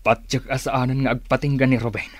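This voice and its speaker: A man acting in a radio drama as a bitter, vengeful character, speaking gravely and broodingly about his enemies. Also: medium pitch, flat delivery, fairly quick, dry recording, close to an everyday voice.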